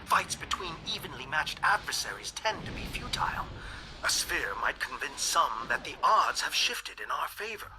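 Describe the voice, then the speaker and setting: Tense, bright-voiced, worried alien scientist. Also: male voice, fairly high pitch, slight background noise, low-quality recording. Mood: guarded, principled. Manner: articulate, formal, grave, deliberate. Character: earnest, worried alien reptilian scientist, a secret dissenter. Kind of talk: scripted video game voice acting, monologue message.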